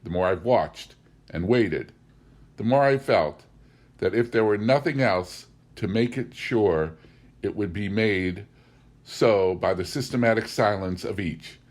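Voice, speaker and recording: A man's voice: on the low side, measured pace, darkish tone. A man voicing an anxious, intense young governess in an audiobook, with insistent, fervent and emphatic speech. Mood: fervent insistence.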